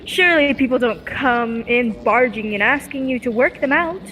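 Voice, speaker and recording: Girl acting a curious eager lab assistant in an old radio play, high voice, bit of background noise. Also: animated, moderate pace, fairly bright tone, low-quality recording. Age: teenager or young adult. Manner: inquisitive, eager. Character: curious, admiring young female assistant to a scientist. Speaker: student actor.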